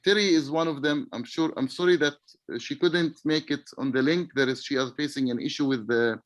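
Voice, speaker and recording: A man from Palestine, answering interview questions on a video call in a halting way, searching for words. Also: fairly high pitch, fairly even intonation, no echo. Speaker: webinar guest.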